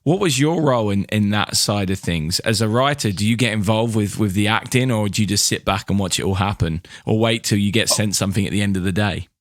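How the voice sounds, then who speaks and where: A man's voice: fairly low pitch, a little fast, hardly any pauses, dry recording, studio quality. A man hosting a podcast, asking questions in a casual, conversational way. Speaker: podcast host, interviewer.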